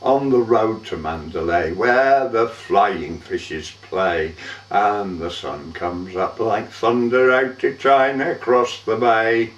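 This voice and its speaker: A man giving a measured, theatrical recitation of a poem as a monologue, drawing out the ends of lines. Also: fairly low pitch. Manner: measured, theatrical, drawn-out line endings. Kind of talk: dramatic poetry recitation, monologue. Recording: dull, echoey room, far from mic.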